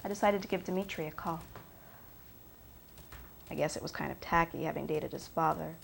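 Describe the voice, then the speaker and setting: A woman's voice: deep voice, moderate pace, creaky, a little hiss or hum. An actress playing an aggrieved, curious ex-girlfriend giving naturalistic testimony about her lover in a film drama. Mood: wry, curious.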